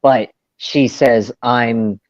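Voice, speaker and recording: A man's voice: mid-range voice, fairly even intonation, very slow, a little mellow, no echo, lo-fi sound. A man chatting as a guest, hesitant and often qualifying his points. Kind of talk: casual chat.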